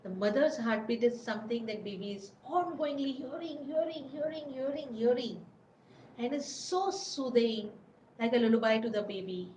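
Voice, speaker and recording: A woman's voice: fairly high pitch, normal speed, darkish tone, slight background noise. A woman giving an informal webinar talk, often repeating herself and searching for words. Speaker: webinar presenter. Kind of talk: informal lecture. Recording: roomy recording with faint background ambience.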